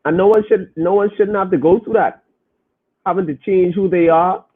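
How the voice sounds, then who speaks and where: A man's voice: high-pitched, moderate pace, breathy edge. A man hosting a podcast, talking emphatically and preaching directly to his listeners as he reacts to their comments. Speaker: podcast host. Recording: phone-like, narrow band.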